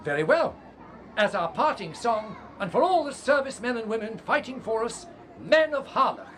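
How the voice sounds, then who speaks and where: A man's voice: extremely high pitch, expressive, normal speed, a bit bright, a faint crowd. An actor playing a kindly, slightly hesitant male schoolteacher looking after evacuee children in a radio play. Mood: encouraging. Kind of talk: radio drama acting. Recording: noisy crowded ambience behind voice.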